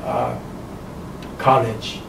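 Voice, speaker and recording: A man in his seventies from Taiwan with a Taiwanese accent, a kung fu teacher giving opinionated interview answers in halting, emphatic non-native English with repeated short phrases. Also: mid-range voice, level tone, creaky. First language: Chinese. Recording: roomy, distant mic, faint background noise.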